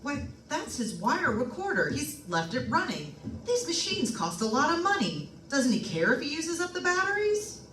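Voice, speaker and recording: A woman's voice: high voice, big pitch swings, moderate pace, a bit bright, faint music behind. A woman playing a folksy, colloquial, eager real estate agent in an old-time radio suspense drama. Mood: calling out, puzzled. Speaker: radio play performer. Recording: roomy, distant microphone, clear background noise.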